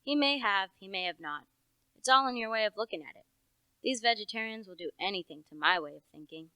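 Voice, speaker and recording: A woman's voice: medium pitch, animated, brisk, bright, forceful tone, clean tone, no room sound, low-quality recording. A young woman playing a folksy, opinionated country police officer in a radio play, with a rustic, knowing, matter-of-fact manner. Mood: knowing, suspicious. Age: young adult.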